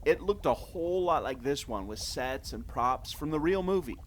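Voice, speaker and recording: A man acting as an inquisitive, enthusiastic adventurer in an audio drama, speaking earnestly and excitably. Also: fairly high pitch, moderate pace, slight background noise, natural voice. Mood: curious, earnest. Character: enthusiastic, inquisitive male adventurer and park trivia buff.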